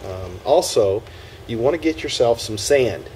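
A man's voice: medium pitch, lively intonation, a little slow, a little mellow, a little hiss or hum, rough recording. A man hosting a homestead video, talking casually through a hands-on demonstration outdoors in a yard, folksy and step by step. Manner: folksy, talks through each step while working.

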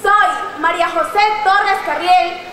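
A woman's voice: extremely high pitch, slow pace, a little hiss or hum. An eighteen-year-old woman introducing herself as a pageant contestant in a rehearsed, proud delivery at a stand microphone on a large night stage. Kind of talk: formal self-introduction on stage. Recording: echoey venue over loudspeakers.

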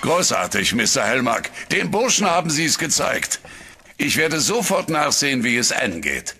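Remorseful elderly professor for horror radio drama played by a man, gravelly, husky and tense. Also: mid-range voice, very animated, normal speed, pushed and bright, heavily acted. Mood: relieved. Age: older. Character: frightened older male professor, guilt-ridden.

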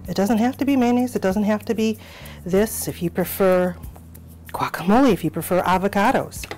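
A middle-aged woman hosting a cooking show from a small home kitchen, explaining each step in an encouraging, unhurried way. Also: mid-range voice, a little mellow, faint music behind.

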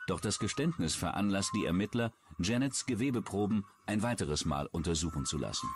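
A man narrating a true-crime documentary in a measured, suspenseful way from a script. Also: fairly low pitch. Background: background music.

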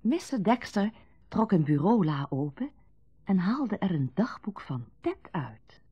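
A woman's voice: mid-range voice, big pitch swings, a little slow, breathy edge, dry recording. A woman narrating a radio drama in a scripted storytelling style. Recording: dull, dry old radio recording.

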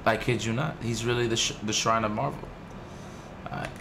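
Raspy excited male stream host. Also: lowish voice, brisk, background noise. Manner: excited, swears a lot, repeats himself. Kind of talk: casual stream commentary over old match footage.

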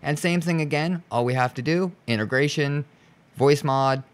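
A man hosting a software tutorial, explaining each step in an informal, instructional way. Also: a little high, moderate pace, smooth. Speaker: tutorial host. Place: indoors, voice-over on a screen recording.

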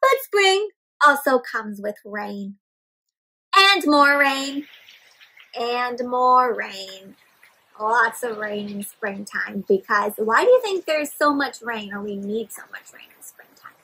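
Young woman, very high girlish pitch, unhurried pace, children's read-aloud, expressive questioning delivery. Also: slightly rough, poor audio. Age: young adult. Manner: animated, questioning, addressing young viewers as friends; exaggerated expressive delivery with gasps and stretched words. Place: indoor room with houseplants.

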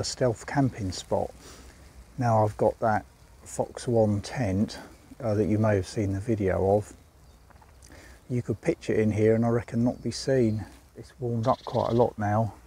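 A man's voice: lowish voice, mellow, slightly rough. An older man vlogging outdoors in a wood, chatting casually about his camping gear with plenty of ums and uhs. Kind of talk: casual walk-and-talk vlog. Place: outdoors in a woodland.

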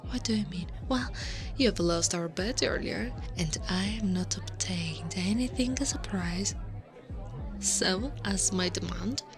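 Woman voicing older female slime monster, ASMR roleplay, teasing, playfully commanding, breathy half-whispers, unhurried pace, clear background music. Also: on the low side. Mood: teasing, dominant. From Italy. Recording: music behind the voice.

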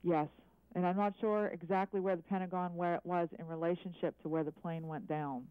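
Eyewitness phoning in to live news; smooth voice, hesitant answers, phone-band sound. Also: medium pitch, steady tone, no echo. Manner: hesitant, halting, careful answers. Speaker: eyewitness caller. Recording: narrow, dry phone line.

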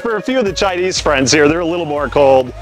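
A man's voice: on the high side, expressive, quick pace, a loud crowd. An American man in his thirties hosting a casual hiking vlog, talking as he goes outdoors. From United States. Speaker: vlog host, hiking guide. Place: outdoors on forest trail by waterfalls. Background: clear music.

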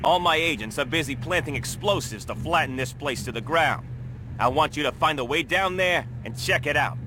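Man acting a commanding, higher-voiced federal chief. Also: lively intonation, music in the background, natural voice, poor audio. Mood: stern, commanding. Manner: measured, authoritative. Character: commanding, unyielding federal law enforcement chief. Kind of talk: video game cutscene acting.

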